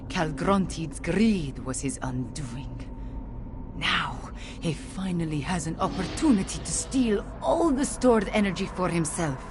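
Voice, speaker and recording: An actress voicing a grave, determined female guardian in a fantasy video game, speaking in a solemn, deliberate, declamatory way. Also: lowish voice, lightly acted.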